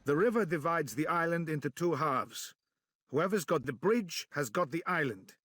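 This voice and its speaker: A man's voice: fairly high pitch, animated, moderate pace, a bit bright, no echo. An actor playing a weary male resistance leader who talks tersely and with determination. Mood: determined. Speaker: game protagonist.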